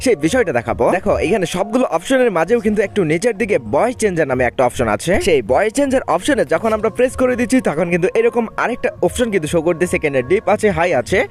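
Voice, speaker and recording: Very fast, high-voiced man presenting a tutorial. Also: lively intonation. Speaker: gaming tutorial host. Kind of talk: scripted how-to video narration. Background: faint background music.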